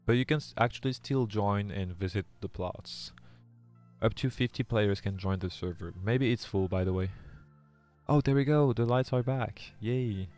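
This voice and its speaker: A man's voice: lowish voice, normal speed, a little mellow, soft background music. A young man from France with a French accent, a native French speaker, chatting with viewers and reading their chat aloud during a live game stream, often restarting his sentences. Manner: reads chat aloud, many restarts, earnest.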